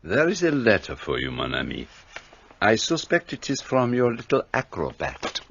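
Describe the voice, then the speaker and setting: A man's voice: mid-range voice, wildly swooping pitch, normal speed, creaky, a bit bright, husky, dull audio, full character voice. An actor playing a fussy, precise older male detective, formal and self-assured in a radio play. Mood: courteous.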